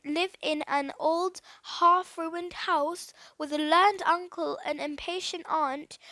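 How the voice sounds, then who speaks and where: A woman reading a children's adventure story aloud in an expressive way, as an audiobook reader. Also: very high-pitched, dry recording.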